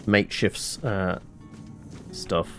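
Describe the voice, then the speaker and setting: A man's voice: sits low, fairly even intonation, takes it slowly, faint music behind. A man giving casual let's-play commentary, muttering his thoughts aloud as he plays and swearing now and then. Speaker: gaming channel host.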